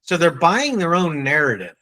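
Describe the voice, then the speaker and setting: A man with a New England accent leading a live online sales training, quizzing and teasing his audience in a brisk way. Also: fairly high pitch, very animated, bright, forceful tone.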